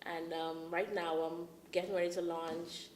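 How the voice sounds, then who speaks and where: A woman from the Bahamas being interviewed as a dance ministry leader, speaking in a measured way with many "um" pauses. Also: fairly low pitch, little pitch movement, a little mellow, reverberant, a little hiss or hum. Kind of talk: casual interview.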